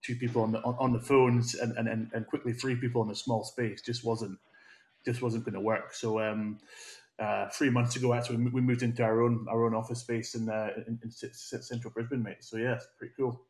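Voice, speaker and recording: A man, a recruitment company co-founder, chatting casually and matily as a podcast guest, with a voice he calls loud. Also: mid-range voice, level tone. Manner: brisk, matey, says he has a loud voice. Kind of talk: casual podcast interview talk.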